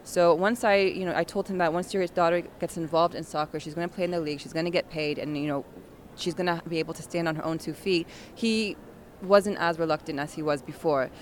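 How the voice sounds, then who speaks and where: A woman, a national team head coach, answering interview questions in a determined, energetic way with many fillers. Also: on the low side, quick pace, few pauses, a little hiss or hum. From United States.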